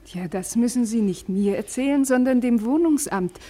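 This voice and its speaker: Actress as a calm, reassuring woman. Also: a little high, normal speed, lightly acted. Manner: soothing, matter-of-fact. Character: calm, reassuring woman advising a worried acquaintance. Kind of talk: 1950s German radio play acting.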